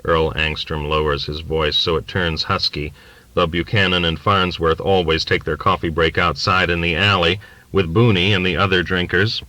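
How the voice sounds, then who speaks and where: A man's voice: sits low, flat delivery, normal speed, pushed and bright, no echo, low-quality recording. A man reading a literary novel aloud as scripted narration for an audiobook. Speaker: audiobook reader. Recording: dry audiobook recording from cassette.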